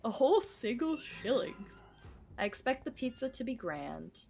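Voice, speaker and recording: A woman's voice: on the high side, sing-song, normal speed, vocal fry, dark tone. A woman in her twenties streaming a game, chatting casually, joking and answering her chat. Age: 20s. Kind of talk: casual live gameplay chat.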